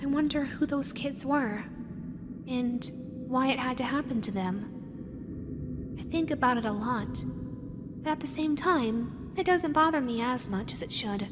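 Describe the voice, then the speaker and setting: A woman's voice: high voice, a little slow, long pauses, background noise. A woman voicing a lonely, possessive animatronic fox with a childlike, sweetly sinister manner, dreamy and lingering, in an audio roleplay. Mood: wistful, eerie. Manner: dreamy, lingering, repeats words softly. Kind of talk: scripted audio roleplay addressed to the listener. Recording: long hall-like reverb, music underneath. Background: background music.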